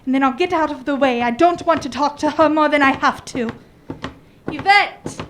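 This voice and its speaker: Woman playing sulky young gentleman, high-pitched. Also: moderate pace, close to an everyday voice. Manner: petulant, brusque. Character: sulky, jealous young Englishman, lovesick and curt. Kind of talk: radio drama acting.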